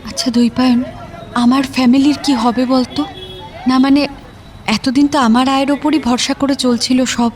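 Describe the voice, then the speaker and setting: A young woman acting in an audio drama as a worried, wistful sister, confiding in a halting, emotional way. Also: sits high, flat delivery, darkish tone, natural voice. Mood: worried, hesitant. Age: young adult. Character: worried, wistful young working woman devoted to her ailing brother. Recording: music bed under the voice.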